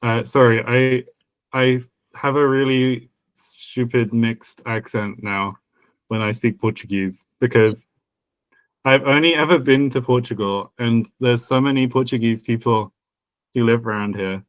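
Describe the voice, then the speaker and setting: A man in casual podcast talk, drawling slowly and circling back over his own words. He restarts sentences often and breaks off with small laughs. The recording is dry and close, with no room sound. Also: medium pitch, fairly even intonation, no room sound, rough recording. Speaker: podcast co-host. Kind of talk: casual chat about language.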